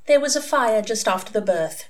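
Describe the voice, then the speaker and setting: An entranced former nun, played by a woman reading an audiobook, answers in a hollow, dreamy voice. She sounds blank yet amiable, hesitant and softly raised in pitch. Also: expressive, moderate pace, close to an everyday voice. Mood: dreamy, wistful.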